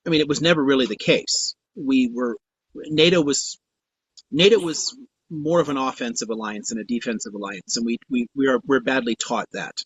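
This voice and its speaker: A man hosting a casual live stream, talking in halting restarts about politics. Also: medium pitch, breathy edge, dry recording. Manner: halting, many restarts, plain-spoken. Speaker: host. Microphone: spare phone. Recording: dull lo-fi phone stream.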